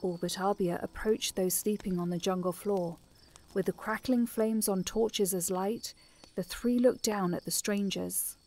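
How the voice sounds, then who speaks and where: A woman narrating a fantasy audio drama as a scripted story, in a measured, descriptive manner. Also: medium pitch, fairly even intonation, darkish tone, close to an everyday voice. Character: female narrator of a fantasy adventure.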